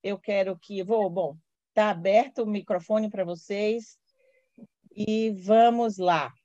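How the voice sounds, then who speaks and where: A woman with a Bahian accent talks in a slow, unhurried way, often restarting as she searches for words. Her voice sounds dry and a little dull, and she hosts in a casual tone. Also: medium pitch, steady tone, mellow, dry recording. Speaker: host. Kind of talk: casual spoken opening.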